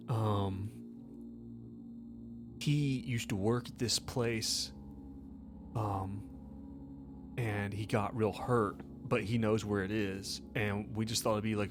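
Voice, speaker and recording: A man's voice: mid-range voice, natural voice. A man voicing a guilty, distraught young man in a tabletop game, stammering and swearing through a confession. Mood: nervous, evasive. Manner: halting, stammering, slangy and sweary.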